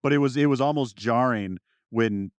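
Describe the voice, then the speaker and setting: A man's voice: mid-range voice, normal speed, no echo. A man co-hosting a podcast, talking through ideas in a casual, informal way. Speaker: podcast co-host.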